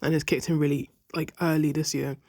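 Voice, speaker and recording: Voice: mid-range voice, level tone, fairly quick, a little mellow. A person in their twenties with a stuffy, congested voice, chatting casually and rambling through a sketchbook tour, swearing freely and poking fun at themselves. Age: 20s. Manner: swears freely, self-deprecating, loses track mid-sentence. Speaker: art vlogger.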